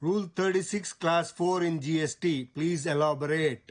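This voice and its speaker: An actor playing a theatrical, showy office boss in a film drama. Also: male voice, on the high side, fairly even intonation, drawn-out words. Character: chuckling, theatrical office boss showing off his employee. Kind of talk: film dialogue.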